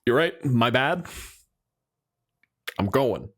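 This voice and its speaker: A man's voice: mid-range voice, very animated, drawn-out words. A man with a mid-range voice co-hosts a casual podcast. He talks conversationally and argues his case, qualifying points as he goes. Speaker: podcast co-host. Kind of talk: casual chat about tabletop game monsters.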